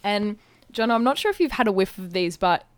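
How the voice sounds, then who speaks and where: A woman co-hosting a podcast in a chatty, playful, casual way, with animated reactions. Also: mid-range voice, a little fast. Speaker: podcast co-host. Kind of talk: casual podcast chat, live taste test.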